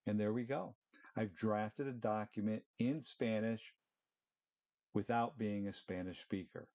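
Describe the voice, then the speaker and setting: Casual male presenter, middle-aged, slightly low voice, chatty walkthrough of a screen demo. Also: a little slow. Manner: relaxed, conversational, fillers like "you know" and "um". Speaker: presenter of short tech tips.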